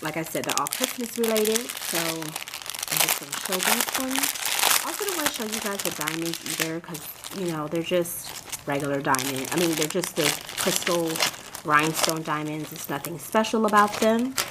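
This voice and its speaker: A woman hosting a casual unboxing vlog, hoarse from a cold, chatty and gushing as she talks to her viewers. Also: fairly low pitch, animated, moderate pace, very noisy. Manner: chatty, gushing, addresses viewers as "you guys". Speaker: crafting channel host.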